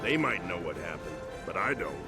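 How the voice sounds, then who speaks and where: Gravelly worked-up rescued prisoner in a game, blunt, voice jumps around, music behind. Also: male voice, deep voice, very animated, moderate pace, exaggerated voice. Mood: exasperated, agitated. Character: battered, exasperated male prisoner, freshly rescued soldier. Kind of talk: video game voice acting.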